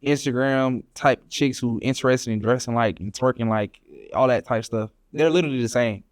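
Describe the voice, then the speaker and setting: A man's voice: mid-range voice, normal speed, dry recording, clean studio sound. A young man from Atlanta, a podcast guest casually telling travel stories, full of slang and "you know what I'm saying". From Atlanta, Georgia. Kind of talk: casual storytelling chat.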